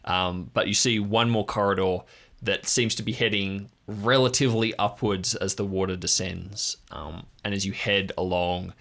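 A man improvising scene descriptions as game master of an audio drama, pausing between phrases. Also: low-pitched, fairly even intonation, gravelly, a bit bright.

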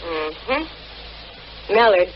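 An actress playing a brisk, arch, self-assured female private eye in a vintage radio detective drama. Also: lowish voice, sing-song, exaggerated voice. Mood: cool, teasing. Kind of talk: 1940s-50s radio detective drama, acting and narrating. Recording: muffled, noisy old radio recording.

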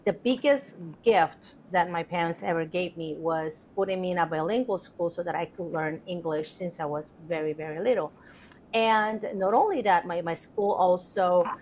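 A woman's voice: fairly low pitch, a little hiss or hum, low-quality recording. A middle-aged woman from Colombia, a Spanish speaker, telling her story as a podcast guest in an earnest, reflective way with many fillers. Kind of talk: casual interview storytelling.

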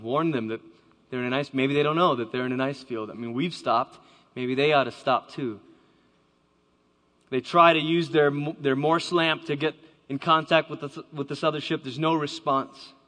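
A man's voice: medium pitch, fairly bright tone. A man giving a dramatic storytelling talk to a live audience, acting out the voices he quotes. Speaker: speaker. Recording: long hall reverb.

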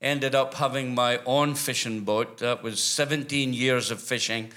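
An older Scottish man with a bright, forward voice tells his story at an easy middle pitch. He rambles, restarts his sentences and chuckles along the way, as a guest in a church interview. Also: steady tone, a little slow. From Scotland.

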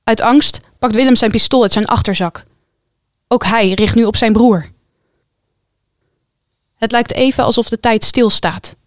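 A woman's voice: fairly high pitch, a little slow, pauses often, no room sound, clean studio sound. A woman delivering dramatic scripted narration as the storyteller of a radio play. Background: music.

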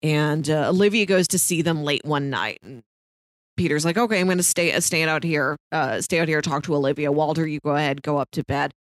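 A woman's voice: deep voice, brisk, dry recording, studio quality. A woman co-hosting a podcast, chatting casually and expressively about a TV show. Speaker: podcast co-host.

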